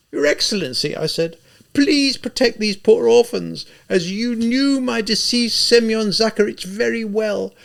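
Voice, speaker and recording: A man voicing a proud, hysterical widowed gentlewoman in a high, loud, strained voice. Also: creaky. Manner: shrill, haughty and hysterical.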